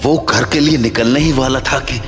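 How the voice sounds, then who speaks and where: A man's voice: mid-range voice, moderate pace. A man narrating a scripted horror story as the storyteller of an audio drama. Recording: long reverb, music bed.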